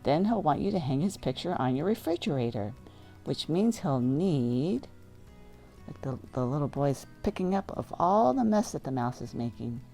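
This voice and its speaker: A woman's voice: low-pitched, big pitch swings, moderate pace, dark tone, faint music behind. A woman reading a children's book aloud, informally adding her own casual comments as she goes. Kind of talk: read-aloud storytelling with casual asides.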